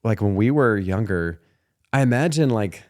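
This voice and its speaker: Low-voiced man in his thirties, podcast host. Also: expressive, normal speed, a little mellow. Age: 30s. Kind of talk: casual podcast chat.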